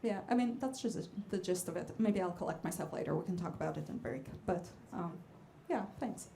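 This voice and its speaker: A woman's voice: fairly low pitch, animated, a little fast, creaky, warm tone, a little hiss or hum. A woman from Israel whose first language is Hebrew, a guest jazz vocalist introducing a song from a club stage in a hesitant, chatty way with many fillers. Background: band instruments faintly.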